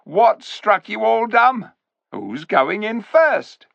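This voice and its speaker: A man's voice: sits high, big pitch swings, slow, gravelly, dry recording, voice pushed up, exaggerated voice. A man voicing a sly, bossy male ape who mocks and jeers at the crowd in a storybook reading. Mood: jeering. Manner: mocking, jeering, cackling. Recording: dull lo-fi recording.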